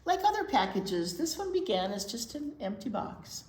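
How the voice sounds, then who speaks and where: A woman reading a picture book aloud to young children as a storytime teacher, expressive and emphatic, explaining things simply. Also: a little high, moderate pace. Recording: roomy echo with faint noise.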